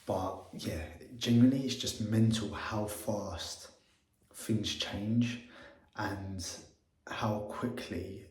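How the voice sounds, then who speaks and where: A man, talking in a casual, motivational vlog, excitable and swearing often, repeating himself. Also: on the low side, takes it slowly, echoey room. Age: 20s. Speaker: agency owner vlogging. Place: same room as his earlier videos.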